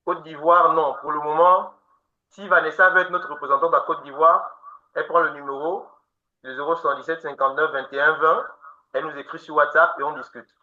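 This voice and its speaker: A man hosting a webinar, answering listeners' questions in a steady explaining manner and counting off his points in order. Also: a little high, brisk. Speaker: webinar host answering questions on natural detox treatments. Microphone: online video call. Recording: dull lo-fi call audio.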